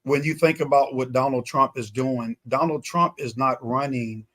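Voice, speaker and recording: A man's voice: mid-range voice, moderate pace, fairly bright tone. A man in a conversational political talk show discussion, speaking emphatically and repeating phrases for weight.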